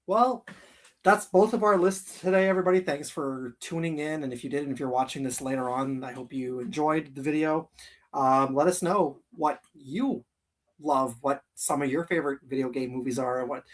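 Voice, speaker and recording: A man hosting a geek livestream in casual chat, with an animated, informal way of coaxing his viewers. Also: mid-range voice, moderate pace. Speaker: host of a geek livestream channel.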